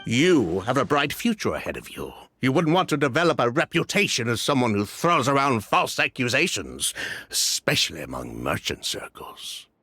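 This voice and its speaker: A man's voice: mid-range voice, wildly swooping pitch, moderate pace, bright, forceful tone, breathy edge, full character voice. A man voicing a haughty, defensive merchant in a video game, with theatrical, condescending politeness. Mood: feigned politeness, condescending. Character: smooth-talking, haughty, defensive merchant brother with a shady past. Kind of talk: scripted video game dialogue.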